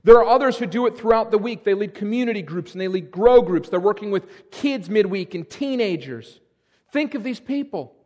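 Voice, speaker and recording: Man, middle-aged, pastor, high-pitched voice, emphatic sermon delivery, stumbling restarts, long church reverb. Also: animated, brisk, mellow. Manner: emphatic preaching with frequent restarts. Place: church sanctuary.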